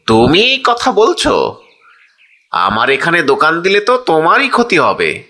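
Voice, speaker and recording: Man acting a greedy, animated, sneering shopkeeper. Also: a little high, measured pace, exaggerated voice. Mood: boastful, smug. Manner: sneering, self-important. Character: greedy, scornful male shopkeeper, scheming and boastful. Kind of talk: cartoon story character dialogue.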